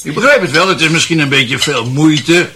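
A man's voice: on the high side, animated, moderate pace, lightly acted. A male actor playing a blunt, pompous, tipsy dinner guest in a radio drama, ranting and repeating himself, with dishes clattering. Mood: indignant ranting. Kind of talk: Dutch radio drama acting. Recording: noisy, clattering cutlery and dishes.

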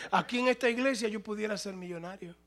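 A male pastor preaching a sermon, moving between plain conversational talk and loud, shouted passages. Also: sits high, fast.

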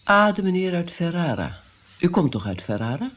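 Imperious noble princess, haughty, commanding, swooping intonation, radio play. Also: female voice, on the low side, sing-song, normal speed, a bit bright. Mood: haughty, brisk. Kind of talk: radio drama.

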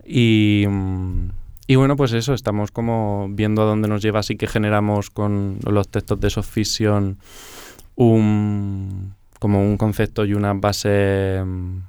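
A man's voice: on the low side, flat delivery, measured pace, darkish tone, clear, resonant tone, dry recording, studio quality. A young man from Murcia, a choreographer chatting casually as a guest and laughing often. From Murcia, Spain. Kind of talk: casual interview talk.

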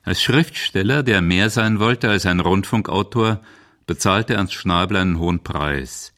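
Man, deep voice, scripted documentary narration, measured, articulate. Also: a bit bright. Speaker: feature narrator.

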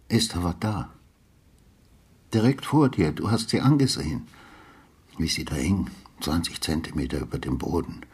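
A haunted old-school detective narrates a radio drama in a low, heavily husky voice, recorded close and dry. His delivery is deliberate and brooding, with a sardonic edge. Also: dry recording, heavily acted. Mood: bitter, accusing. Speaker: narrator and lead actor. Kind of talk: literary radio drama narration with inner monologue.

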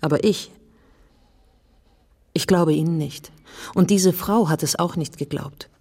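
Radio drama policewoman: soft dark tone, earnest and insistent, intimate deliberate questioning. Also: deep voice, husky, heavily acted. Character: earnest, probing policewoman challenging a wary man. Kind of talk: German radio drama acting.

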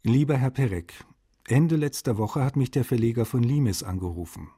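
Cordial translator, radio documentary letter reading, relaxed mellow timbre, husky. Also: male voice, medium pitch, normal speed. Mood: cordial, pleased. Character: cordial literary translator writing to a colleague.

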